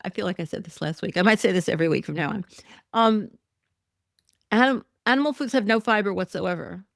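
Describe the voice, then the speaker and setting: A woman's voice: medium pitch, a little fast, creaky, dry recording, clean studio sound. A woman hosting a solo podcast, talking through news in a chatty, wry way with many ums and self-corrections, her voice a bit worn. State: losing her voice. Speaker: podcast host. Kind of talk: casual solo commentary podcast.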